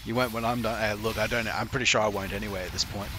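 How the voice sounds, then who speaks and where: Bright, sarcastic, swearing game coach. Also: male voice, fairly low pitch, fairly even intonation, very fast. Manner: sarcastic, profane, joking. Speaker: game coach reviewing replays. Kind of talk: live-streamed replay commentary. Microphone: headset.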